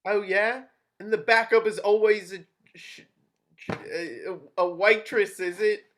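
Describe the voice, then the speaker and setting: A cornered young working-class man, read aloud by a table-read cast member. The voice sits at a middling pitch. The delivery is blunt and emotional. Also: steady tone, unhurried, fairly bright tone, lightly acted. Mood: angry. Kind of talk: amateur table read over video call.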